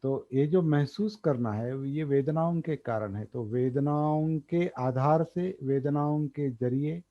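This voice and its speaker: A man gives a dharma talk, thinking aloud, pausing often and repeating key words. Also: fairly high pitch. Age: 60s. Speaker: meditation teacher. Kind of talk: slow explanatory dharma talk. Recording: dull lo-fi recording.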